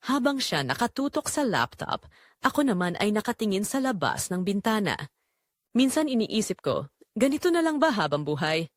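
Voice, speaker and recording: A woman's voice: mid-range voice, no room sound, clean studio sound. A Filipino woman narrating a dramatic first-person story as a lonely mother, in an intimate, confessional way.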